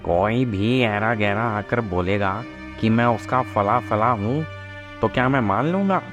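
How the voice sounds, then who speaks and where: A man's voice: on the low side, measured pace, fairly bright tone, faint music behind. A man playing a rude, haughty gatekeeper with a dismissive, scornful manner in cartoon story dialogue.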